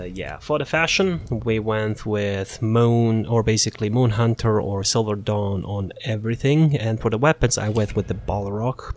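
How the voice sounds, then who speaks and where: A man casually walking through a game character build, halting often with fillers and restarts. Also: fairly low pitch. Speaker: gaming channel host.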